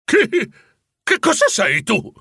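A man voicing an excitable, easily frightened old miser who exclaims in a high, agitated voice. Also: normal speed, gravelly, breathy edge, dry recording, clean studio sound, full character voice. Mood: alarmed.

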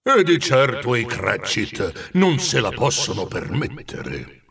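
A man's voice: medium pitch, sing-song, slow, vocal fry, fairly bright tone, recorded from a distance, exaggerated voice. Man voicing a giant jovial, teasing Christmas ghost. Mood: resigned. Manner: amused, sighing, weighty delivery.

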